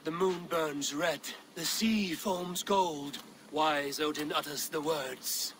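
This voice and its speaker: An actor playing a steadfast, blunt Norse warrior with a declamatory streak in a dramatic video game. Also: male voice, a little high, steady tone, slow pace, noisy background. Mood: ominous, theatrical.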